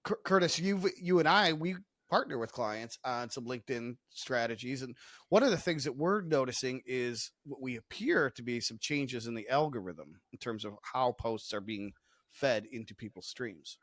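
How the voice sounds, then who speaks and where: A man's voice: medium pitch, animated, moderate pace, fairly bright tone, no echo. A man co-hosting a podcast interview in a casual, wordy way, often correcting himself mid-sentence. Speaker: podcast co-host.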